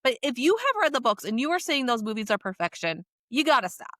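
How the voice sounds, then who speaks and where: An American woman co-hosting a podcast in casual chat, speaking in an animated, emphatic and informal way. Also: a little high, quick pace, no echo, clean studio sound. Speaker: podcast co-host.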